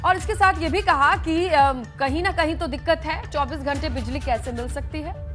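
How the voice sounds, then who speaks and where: A woman's voice: sits high, moderate pace, fairly bright tone, faint music behind. A woman presenting television news as an anchor, in bulletin and handover style. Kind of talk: news presenting, scripted lines and handovers to reporters.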